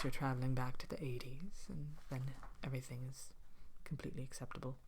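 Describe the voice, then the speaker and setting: A wicked, vain fairy-tale queen played by a woman speaks in a low, hushed voice that often slips into a whisper. She is haughty and languid, with dry wickedness, hosting an ASMR question-and-answer monologue. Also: slightly rough, exaggerated voice. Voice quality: soft-spoken, often breaking into whisper. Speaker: character host of an ASMR show.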